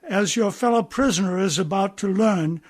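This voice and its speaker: Man playing a husky, high-voiced stern officer. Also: moderate pace. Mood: stern, commanding. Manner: clipped, commanding, formal questioning. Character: stern, pompous German naval officer conducting an interrogation. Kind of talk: radio play acting.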